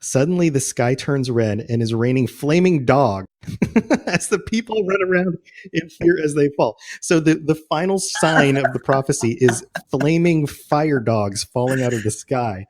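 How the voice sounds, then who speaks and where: A man's voice: mid-range voice, a little slow. A man chatting informally and casually as a podcast host about game lore, often chuckling.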